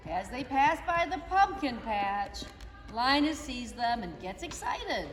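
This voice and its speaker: A woman narrating a children's reader's theater story in an expressive, animated way, recorded from afar in an echoey hall. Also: on the high side, slow. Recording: echoey hall, mic far off.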